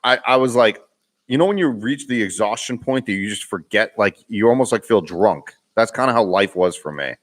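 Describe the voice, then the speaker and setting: A young man with a Boston accent hosts a casual live Q&A, reading viewer comments aloud. His voice sits a bit low and he talks in a loose, rambling way, often laughing and restarting his sentences. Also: animated, a little fast. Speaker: vlogger hosting a live Q&A.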